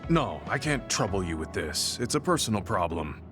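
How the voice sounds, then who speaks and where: Stoic old-school soldier in a game, low voice, serious n measured, music playing behind. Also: male voice, sing-song. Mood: somber, troubled. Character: reserved, old-fashioned male veteran soldier, stoic and thoughtful. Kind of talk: video game voice acting. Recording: background music over dialogue.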